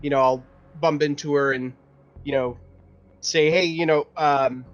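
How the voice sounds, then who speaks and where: A man's voice: a little high, steady tone, unhurried, muffled recording. A man playing a tabletop roleplaying game, casually talking through his actions and improvising dialogue in a hesitant, self-correcting way.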